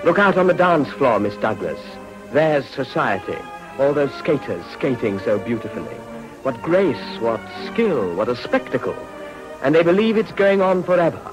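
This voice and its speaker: An actor playing an urbane, world-weary Edwardian gentleman with an upper-class English accent and a dry, epigrammatic manner in a period radio drama. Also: mid-range voice, big pitch swings, normal speed, music in the background, lightly acted, rough recording. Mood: jaded, cynical.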